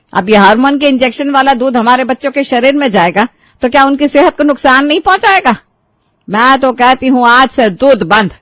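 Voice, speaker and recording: A woman's voice: a little high, a bit bright, dry recording, voice pushed up. Storybook role: irate elderly Indian matriarch played by a woman, emphatic, grumbling and domineering, in a dry recording. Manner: scolding, emphatic, complaining. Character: irate, bossy, sharp-tongued elderly Indian mother-in-law. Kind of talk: animated moral storybook acting.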